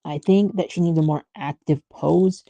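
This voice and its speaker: A woman with a very soft-edged, dark voice and a creaky fry talks through her art on a casual livestream. She muses aloud and lets thoughts trail off. The sound is close and dry. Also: fairly low pitch, steady tone, moderate pace, dry recording. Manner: musing, trailing off mid-thought. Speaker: artist streamer thinking aloud while drawing.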